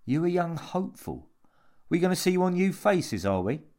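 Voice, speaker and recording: Man acting a cocky club performer, raised pitch. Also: big pitch swings, moderate pace, warm tone. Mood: cocky, pushy. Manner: insolent, informal, pushy. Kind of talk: audiobook character voice.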